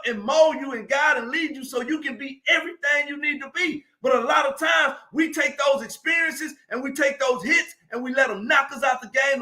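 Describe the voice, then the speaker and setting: High bright-voiced preachy man. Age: 40s. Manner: preachy, emphatic, often raising his voice. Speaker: motivational speaker. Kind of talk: motivational live stream talk.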